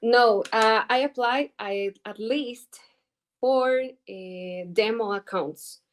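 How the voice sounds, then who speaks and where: Interview guest: woman in her twenties, Ecuadorian Spanish accent, non-native English, slow and halting. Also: fairly high pitch. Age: 20s. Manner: halting, searching for English words with many fillers. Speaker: guest trader. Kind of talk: interview answers over video call.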